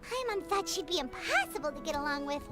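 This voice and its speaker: A woman voicing a small, childlike, excitable fairy girl companion in a video game, with a squeaky voice and an animated, eager manner. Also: fast, loud music behind the voice. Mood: chatty. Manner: refers to self in third person, animated. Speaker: guide and companion.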